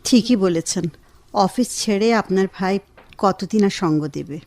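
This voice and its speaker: A woman plays an affectionate, grateful wife in a radio drama. Her voice is dark and soft at an average pitch, recorded dry. She speaks with gentle politeness. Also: unhurried, dry recording, close to an everyday voice. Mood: grateful, reflective. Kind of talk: Bengali radio drama acting.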